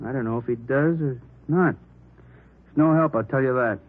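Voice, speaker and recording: Muffled tinny radio recording, actor as male American detective, husky, earnest, worrying, conversational. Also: mid-range voice, moderate pace, lightly acted. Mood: grumbling. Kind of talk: vintage radio police drama acting.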